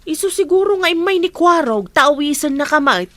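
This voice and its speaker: A woman's voice: high voice, animated, moderate pace, a bit bright, dry recording. A woman acting a sympathetic, cautioning village woman in a comedy drama, with an Ilocano accent. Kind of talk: comic radio-drama acting.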